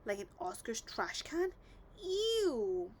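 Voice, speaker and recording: A woman's voice: on the high side, very animated, slow, exaggerated voice. A woman voicing a cheerful, eager little monster child in a storybook reading. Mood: amazed, giggly. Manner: childlike, eager, speaks of self in third person.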